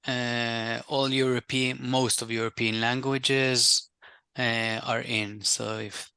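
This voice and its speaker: Guy demoing software, crisp bright voice, pretty flat, dry close mic. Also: medium pitch, no echo. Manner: measured, explanatory, lists many examples. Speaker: software vendor presenter. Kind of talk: product demo talk in a webinar.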